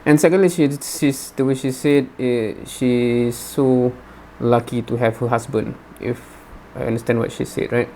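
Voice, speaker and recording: Man, mid-pitched voice, halting unscripted commentary, rephrases often, reaction host, faint background noise. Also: fairly even intonation, warm tone. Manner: halting, repeats and rephrases words.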